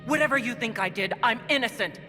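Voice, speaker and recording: A woman's voice: a little high, animated, fast, lightly acted. An actress voicing a bewildered female dwarf prisoner in a video game, earnest and questioning as she pleads innocence. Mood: defensive, distressed. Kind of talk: dramatic video game voice acting. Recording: long hall reverb, music behind.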